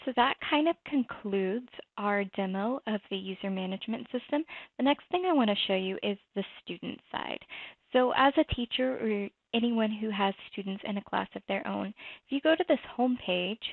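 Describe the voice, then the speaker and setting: A woman presenting a software walkthrough, explaining each step in a conversational way. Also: medium pitch, normal speed, vocal fry, dry recording. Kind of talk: screen-recorded software walkthrough. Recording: tinny phone-like dry audio.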